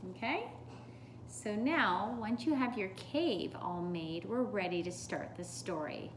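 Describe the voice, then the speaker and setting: Slow-paced woman in an echoey, noisy room. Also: medium pitch, expressive. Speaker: teacher reading a picture book aloud. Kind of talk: storybook read-aloud and craft demonstration. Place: home kitchen, indoors. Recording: echoey room with clear background noise.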